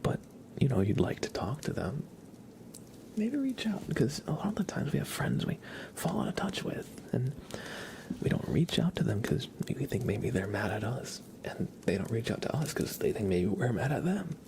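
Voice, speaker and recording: A man hosting an ASMR livestream, talking softly in a gentle, unhurried, chatty way to his viewers. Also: medium pitch, big pitch swings, vocal fry, background noise. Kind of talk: ASMR, soft whispered live chat and reading.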